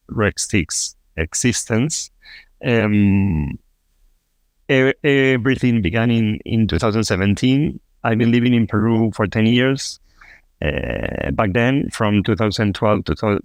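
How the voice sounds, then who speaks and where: A man from Argentina with a low voice and a gravelly edge tells his career story on a podcast. He speaks haltingly, restarting phrases and filling pauses, into a dry, close remote mic. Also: dry recording. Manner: halting, many restarts and fillers. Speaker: podcast guest, fintech co-founder. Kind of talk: casual interview answers.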